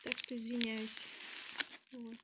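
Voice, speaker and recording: A woman's voice: a little high, monotone, slow. A young woman with a stuffy, nasal voice from a cold, chatting through a home vlog as she shows her purchases and lists their prices one by one. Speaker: vlogger. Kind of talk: casual vlog show-and-tell. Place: apartment at a family birthday. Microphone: handheld phone camera. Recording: noisy home phone recording.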